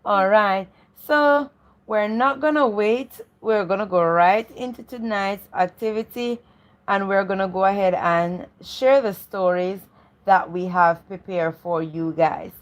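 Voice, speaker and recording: Medium-pitched woman co-hosting a live stream. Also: a little slow. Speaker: co-host of a read-aloud show. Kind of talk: welcoming live-stream presenting.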